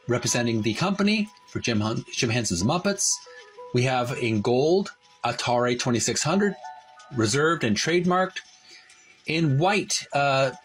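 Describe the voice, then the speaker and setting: A man hosting a retro gaming channel, casually narrating a show-and-tell and often pausing mid-phrase. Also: mid-range voice, a bit bright, low-quality recording.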